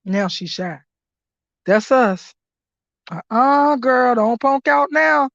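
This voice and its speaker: Hoarse, rowdy woman live-stream host. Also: high voice, lively intonation, slow pace, dry recording. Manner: loud, laughing, profane hype commentary. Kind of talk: casual live-stream chat. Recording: dry, dull lo-fi stream audio.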